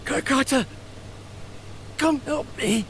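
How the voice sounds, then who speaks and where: An actor playing an ailing, despairing male researcher in a video game, halting, strained, weak and short of breath. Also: very high voice, fairly bright tone, slight background noise, full character voice. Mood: strained, muttering. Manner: halting, strained, talking to himself. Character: ailing, despairing male researcher working on mechanical life forms. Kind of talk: dramatic video game voice acting.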